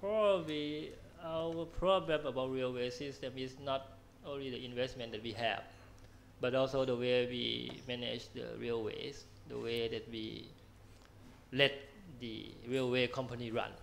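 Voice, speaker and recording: A man speaking on a discussion panel who hesitates and restarts often as he answers. His voice has a gravelly edge. A faint hum of noise sits behind him. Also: mid-range voice, fairly even intonation, measured pace. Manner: hesitant, many fillers and restarts.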